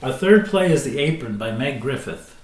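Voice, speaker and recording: A man serves as announcer for an evening of plays. He reads prepared introductions in a formal, measured way, setting out scene and characters. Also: mid-range voice, very animated, reverberant, faint music behind. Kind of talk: scripted narration.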